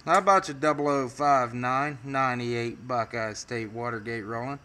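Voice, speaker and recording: A man's voice: medium pitch, steady tone, moderate pace. American CB radio operator, man, heavy static. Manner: folksy, uses radio jargon. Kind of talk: on-air CB radio contact chatter. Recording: loud radio static and noise. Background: radio static and noise.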